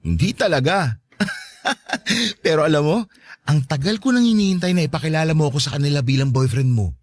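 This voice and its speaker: Boyfriend in his thirties, man acting, cheerful and devoted, animated higher-set voice, dry close sound, radio drama. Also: normal speed, no echo. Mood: happy, grateful. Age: 30s. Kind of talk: Tagalog audio drama dialogue.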